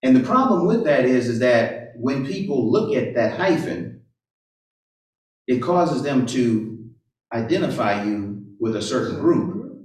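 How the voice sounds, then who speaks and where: A man preaches to a live group and keeps firing off rhetorical questions, tagging his points with "right?". His voice is at a middle pitch and sounds distant from the microphone. Strong room echo surrounds everything he says. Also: sing-song, a little slow. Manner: asks rhetorical questions and tags sentences with "right?". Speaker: pastor or Bible teacher. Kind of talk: interactive sermon. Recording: roomy echo, far from the mic.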